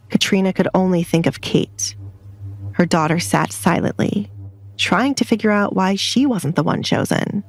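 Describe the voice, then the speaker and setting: Woman narrating a romance story over music. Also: mid-range voice. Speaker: audiobook narrator. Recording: background music under the voice.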